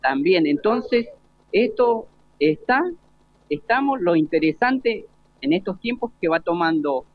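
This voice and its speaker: A man's voice: sits high, expressive, unhurried. A man explaining things on a panel as a municipal official. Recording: thin phone-like radio broadcast.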